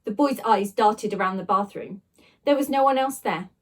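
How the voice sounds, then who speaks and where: A woman reading a children's story aloud like a teacher, with dramatic, expressive delivery and emphatic sound words. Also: mid-range voice, normal speed. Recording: voice a little distant from the microphone.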